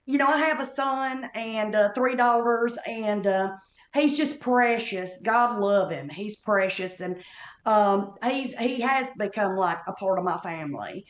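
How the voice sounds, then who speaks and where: A woman's voice: mid-range voice, a little slow, reverberant. A woman in her fifties with an Appalachian Southern accent, a comedian telling stories on a podcast in a chatty, perky way. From North Carolina Appalachians. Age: 50s. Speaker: comedian guest. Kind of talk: casual podcast chat.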